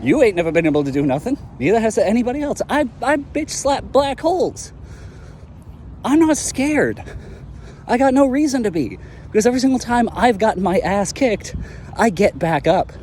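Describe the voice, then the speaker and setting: A man's voice: high voice, big pitch swings, moderate pace, darkish tone, a little hiss or hum. A man voicing a brash, profane young hero in an amateur audio drama who talks bluntly and defiantly. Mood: defiant, cocky.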